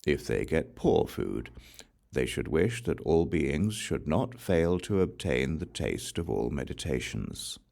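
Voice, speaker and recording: A man reading scripture aloud as an audiobook narrator, in a measured, solemn recitation. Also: very deep voice, very animated, creaky, darkish tone. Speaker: audiobook reader.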